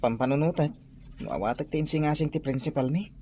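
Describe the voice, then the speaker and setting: A man's voice: fairly high pitch, quick pace, darkish tone, slight background noise, lightly acted. A man acting an earnest young son who hesitantly tells his parents he wants to become a priest, in a radio drama.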